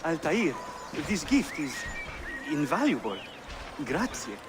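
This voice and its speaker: An actor playing an eager, courteous Venetian merchant traveler in a video game. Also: male voice, fairly high pitch, slow pace, over music. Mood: grateful. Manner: courteous, animated. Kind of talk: dramatic video game cutscene acting.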